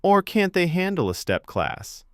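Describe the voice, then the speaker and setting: A man's voice: high voice, sing-song, measured pace, clear, resonant tone, no echo, clean studio sound, voice pushed up. A man voicing a bold, goading middle-aged woman instructor who calls out teasingly in an audio story.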